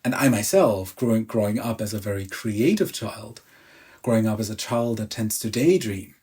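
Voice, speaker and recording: A young adult man with a German accent, a coach speaking in a calm, measured, gently earnest teaching monologue. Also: lowish voice, slightly rough. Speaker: emotional release coach.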